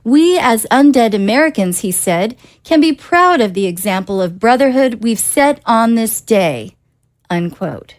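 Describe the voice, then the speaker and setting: Formal TV anchor, a woman plays her, measured and even. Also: fairly high pitch. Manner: measured broadcast reading. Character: female TV news anchor, formal. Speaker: news anchor.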